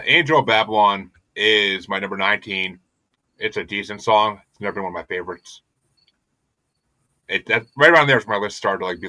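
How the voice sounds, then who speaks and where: Profane, animated man hosting a podcast. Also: lowish voice, no echo. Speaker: podcast host. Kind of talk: casual podcast chat.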